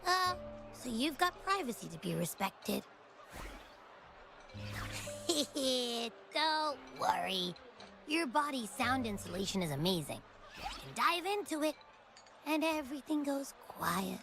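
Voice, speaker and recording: A high, childlike little creature from a video game. It is cheeky and dramatic, always hungry, and takes its time over its words. Clear music plays behind the voice. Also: female voice, very animated, takes it slowly, long pauses, pushed and bright, heavily acted. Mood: matter-of-fact, cheeky. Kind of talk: video game character acting.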